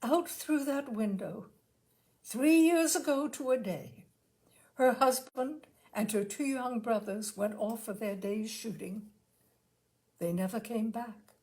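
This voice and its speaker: A woman's voice: a little high, darkish tone. A woman voicing a self-possessed fifteen-year-old girl from a storybook, with a poised, confiding, dramatic manner.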